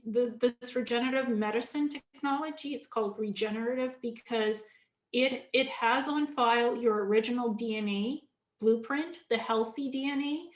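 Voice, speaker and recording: A woman's voice: fairly high pitch, echoey room. A woman from Ukraine, a guest in an interview, explaining at length in an earnest way, often restarting her sentences. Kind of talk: casual interview talk.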